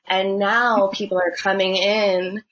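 A young woman who works as a budtender, answering interview questions in a relaxed, chatty way with lots of fillers. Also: mid-range voice, takes it slowly. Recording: dull lo-fi sound.

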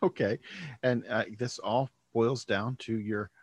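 A male podcast host chats casually, thinking aloud and often circling back to restart his sentences. His voice sits at an ordinary mid pitch. The recording is dry and close-miked. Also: fairly even intonation, unhurried, dry recording. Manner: rambling, thinks aloud, starts over often. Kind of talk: casual conversation.

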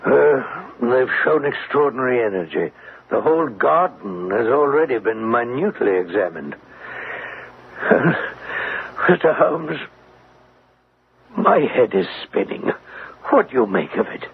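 A man's voice: medium pitch, sing-song, a little slow, vocal fry, pauses often. An actor playing a stern, troubled older banker in a classic radio drama, formal and emphatic in his speech. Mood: despairing. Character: anguished, stern, proud older banker father. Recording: tinny, noisy old radio recording.

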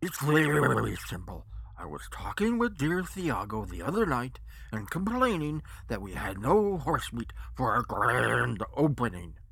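A pompous, patronizing chinless man in an audiobook story. The voice is husky and rough, drawling slowly and emphatically with huge swoops of pitch. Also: wildly swooping pitch, fairly bright tone, no echo, full character voice.

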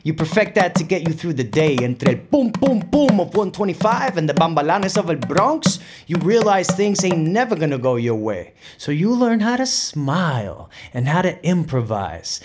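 An actor playing a playful, reflective Puerto Rican bandleader from New York in an audio drama monologue, mixing Spanish into streetwise, conversational storytelling with a Puerto Rican New York accent. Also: male voice, fairly high pitch, a little mellow. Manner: Spanglish code-switching, streetwise, conversational storytelling.

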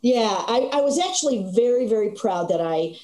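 Older actress, mellow voice, echoey room. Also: female voice, on the high side, moderate pace. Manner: animated storytelling with frequent laughs. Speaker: stage actress, guest. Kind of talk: conversational interview.

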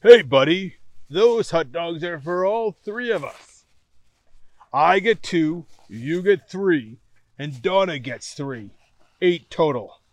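A reader voicing a blunt crewman who gives loud, firm warnings in a voice near his own. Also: medium pitch, lively intonation, slow pace, no echo. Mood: stern.

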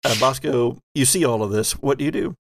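A man's voice: medium pitch, fairly quick, vocal fry, no echo. A man acting as game master, narrating a horror tabletop game in a descriptive, suspenseful way.

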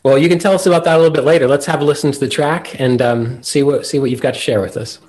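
A man's voice: fairly high pitch, steady tone, racing. A man hosting a podcast in a casual, hesitant and good-humoured way. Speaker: podcast host.

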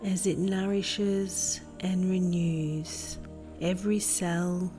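A woman narrating a guided meditation in a gentle, soothing manner with deliberate phrasing. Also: on the low side, level tone, faint music behind. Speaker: meditation guide and podcast host.

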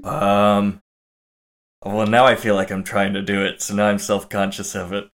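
A man co-hosting a casual movie podcast, relaxed and playful, talking after very little sleep. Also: on the low side, normal speed, slight background noise. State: low on sleep. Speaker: podcast co-host.

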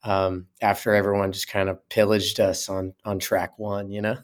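A man's voice: fairly low pitch, little pitch movement, moderate pace. A man, a musician guest, talking casually in an interview, often repeating words as he gathers his thoughts.

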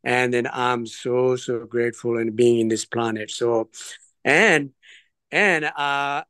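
A man, his voice smooth and resonant. He tells his story earnestly, pausing and circling back as he goes. The sound is close and dry, with no room echo. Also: mid-range voice, fairly bright tone. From Nepal. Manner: earnest, digressive, hesitant phrasing. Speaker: trekking guest. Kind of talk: interview storytelling.

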